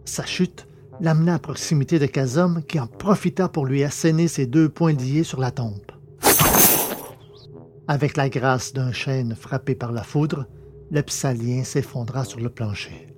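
A man narrating a science fiction adventure in a measured, dramatic storytelling manner. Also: medium pitch, noisy background. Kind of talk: scripted audiobook narration.

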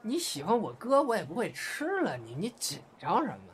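A man's voice: high voice, huge pitch swings, normal speed, a bit bright, heavily acted. A man playing a jealous, cheeky young brother in an audio drama, chatty and needling in manner. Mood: teasing. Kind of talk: Chinese audio drama dialogue. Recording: faint background noise and ambience.

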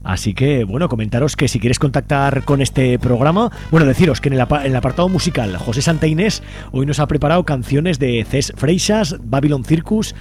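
A man's voice: mid-range voice, expressive, fairly quick, darkish tone. A man Spain, presenting a live community radio show as its host a studio microphone. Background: music.

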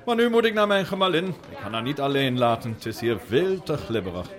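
An actor playing a jovial, generous royal prince in a radio drama, genial and a little patronising. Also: male voice, medium pitch, very animated, a little fast, lightly acted. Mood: insistent, benevolent. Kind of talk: Dutch radio drama acting. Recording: outdoor scene, faint crowd behind.

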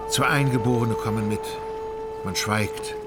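Music plays clearly behind a man narrating a literary radio drama. His voice sits at a middle pitch, and he tells the story in a measured, faintly wry and ornate way. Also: huge pitch swings.

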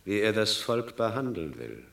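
An actor playing a wary, plotting Roman tribune in a classic radio play, deliberate and conspiratorial. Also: male voice, lowish voice, sing-song, gravelly, fairly bright tone, full character voice. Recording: echoey radio drama studio.

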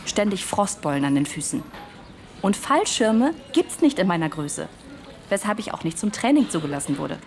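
A woman's voice: mid-range voice, very animated, moderate pace, a little mellow, faint music behind. An actress playing an earnest, polite young woman in the wartime resistance, chatty and eager, in a naturalistic radio drama. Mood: candid, earnest.

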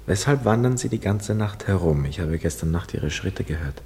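Low, husky, pensive young drifter. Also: male voice, expressive, moderate pace, darkish tone, exaggerated voice. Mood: reserved, melancholy. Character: Gabriel, lonely, weary, penniless young man back from war captivity. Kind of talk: literary radio drama, first-person narration and dialogue.

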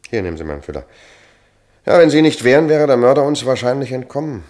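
An actor playing a seasoned, matter-of-fact police sergeant in a German crime radio play, measured, dryly cynical and authoritative. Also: male voice, medium pitch, sing-song, a little mellow, lightly acted. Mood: dry, cautioning.

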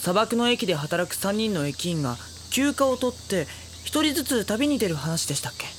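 A woman playing a dry, exasperated high school boy in an anime audio drama, with deadpan retorts. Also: normal speed, noisy background, natural voice.

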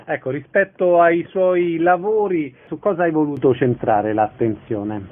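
A man's voice: fairly high pitch, measured pace, noisy background. A man working as a radio interviewer, speaking in a concise broadcast style. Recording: narrow, phone-like radio sound.

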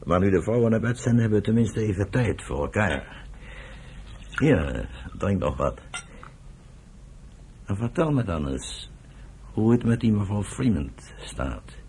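An actor playing a stern, embittered elderly father and political leader in a radio drama, speaking gravely and deliberately. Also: lowish voice, big pitch swings, darkish tone, slight background noise. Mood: friendly, curious. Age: older.

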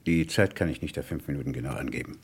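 A man acting a grave, discreet professor of surgery in a German radio crime drama, gravelly and husky, speaking formally with careful hesitations. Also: a bass voice, quick pace, heavily acted. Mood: reserved, careful.

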